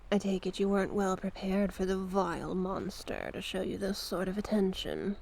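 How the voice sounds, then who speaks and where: A woman voicing a seductive, manipulative sea witch in an ASMR roleplay, doting yet sinister, with a hushed, breathy, coaxing delivery. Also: medium pitch, animated. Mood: doting, teasing. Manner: slow, coaxing, affectionate endearments. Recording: noticeable background noise and ambience.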